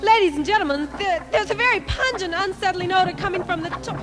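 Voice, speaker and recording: A woman's voice: very high-pitched, fast talker, music in the background. A woman playing a horrified on-the-scene television reporter in a radio comedy. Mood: alarmed. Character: horrified female locker-room TV reporter.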